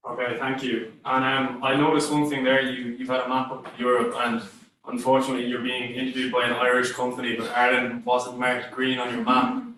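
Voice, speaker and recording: A man's voice: medium pitch, monotone, brisk, pushed and bright, slight background noise. A man on a video call asking a presenter polite, hesitant questions. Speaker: investor panelist asking questions. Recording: echoey far-off call audio.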